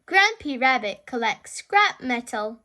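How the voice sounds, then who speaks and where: A woman narrating a picture book for young children as a storyteller, in an animated, deliberate sing-song way. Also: sits high. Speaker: children's read-aloud storyteller, channel host.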